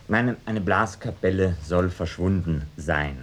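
An actor playing a pedantic university professor, giving hesitant, deliberate expert statements in a mock-documentary radio drama. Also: male voice, low-pitched. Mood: pedantic, measured. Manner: hesitant, deliberate, academic hedging.

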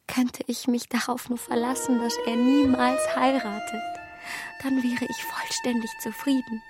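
Emma, slow-speaking, self-reproaching young gentlewoman. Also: high-pitched, sing-song. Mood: wistful, possessive. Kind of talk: radio play acting, inner monologue. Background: music.

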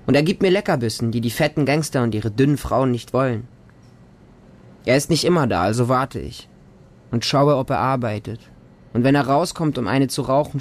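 A man's voice: mid-range voice, lightly acted. A man playing a watchful, trusting homeless boy in a radio drama monologue, speaking in simple, childlike short sentences. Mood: plain, matter-of-fact. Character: homeless street boy living with a pack of dogs, watchful, trusting, defiant.

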